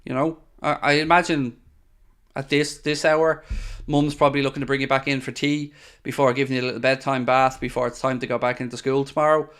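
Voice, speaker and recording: A man's voice: medium pitch, level tone, fairly quick, a bit bright, clear, resonant tone. Exasperated man ranting through a live match. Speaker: football watchalong host. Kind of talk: live match commentary and reaction.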